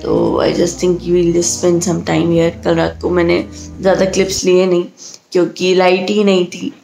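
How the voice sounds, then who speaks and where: A woman's voice: lowish voice, completely flat delivery, unhurried, creaky, dark tone. A woman talking casually to camera as a travel vlogger, chatty and switching back and forth between Hindi and English. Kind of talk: casual vlog talk to camera. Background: background music.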